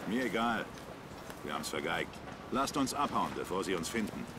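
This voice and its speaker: An actor playing a gruff, weary, middle-aged squad sergeant with a curt, commanding manner in a dubbed video game. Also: male voice, low voice, animated, moderate pace, noisy background.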